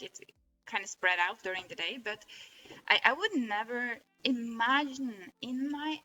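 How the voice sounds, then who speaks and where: A woman's voice: on the high side, sharp tone, rough recording. A woman with a Norwegian accent, a native Norwegian speaker, talking through her experience in an earnest, rambling way, with many restarts. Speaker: vlogger. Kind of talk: casual reflective monologue.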